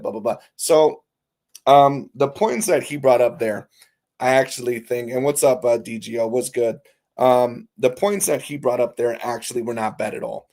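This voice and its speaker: A man with a hoarse, raspy voice, talking casually on a live stream as host, reading viewer comments and calling his viewers folks. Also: mid-range voice, darkish tone. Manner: chatty, curses casually, calls viewers folks.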